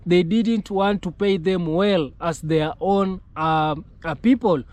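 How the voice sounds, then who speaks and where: A man hosting a YouTube commentary channel, talking in halting, repetitive phrases with frequent filled pauses. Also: sits high, a little mellow, no room sound.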